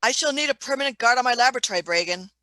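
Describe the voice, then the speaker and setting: An eager, imperious scientist in a radio drama, played by a woman. She speaks fast in a high-leaning, bright voice, stubborn and insistent. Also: expressive, no room sound. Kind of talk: radio play reading.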